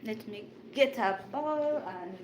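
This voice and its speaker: A woman's voice: fairly high pitch, expressive, slow. A young woman from Uganda with a Ugandan accent, a native Luganda speaker, chatting casually with viewers while cooking. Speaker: vlogger. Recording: noisy home recording.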